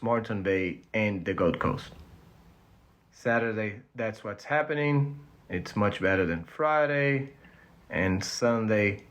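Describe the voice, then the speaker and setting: A young Brazilian man with a clear Portuguese accent talks through a coastal weather outlook, keen and a little loose with his English grammar. His pitch sits in an ordinary middle range for a man. The sound is close and dry, with no room echo behind it. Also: a bit bright. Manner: enthusiastic, slightly broken English. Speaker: weather presenter. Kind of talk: casual presenting.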